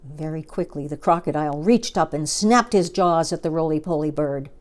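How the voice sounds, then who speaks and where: A woman's voice: low-pitched, moderate pace. A woman reading a children's story aloud as a storyteller, expressively and with dramatic emphasis.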